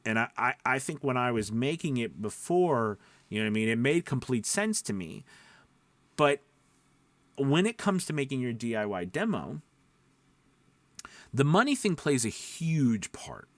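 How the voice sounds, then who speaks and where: A man with a medium-pitched voice gives informal instruction as a voice-over coach. He explains things conversationally, repeating points for emphasis and checking that listeners follow. The sound is dry, with very little room echo. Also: sing-song, a little slow, pauses often. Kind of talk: informal instructional talk to camera.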